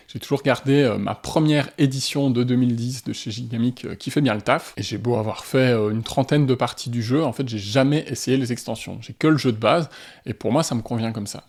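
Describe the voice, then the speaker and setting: A man's voice: mid-range voice, darkish tone. A man, hosting a board game channel and giving a casual, enthusiastic review to camera, with frequent hesitation fillers.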